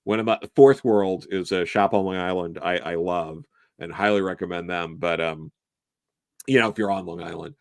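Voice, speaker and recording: A man's voice: deep voice, steady tone, moderate pace, vocal fry, no echo. A man appearing as a guest in a casual chat, talking in an enthusiastic, rambling way with casual swearing. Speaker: guest, comics writer.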